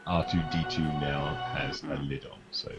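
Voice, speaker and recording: A man's voice: extremely low pitch, flat delivery, moderate pace, muffled recording, over loud music. A man playing a tabletop game, chatting in a wry, self-deprecating way. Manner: wry, self-deprecating, laughs at his own asides. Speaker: player. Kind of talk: casual chat between tabletop turns.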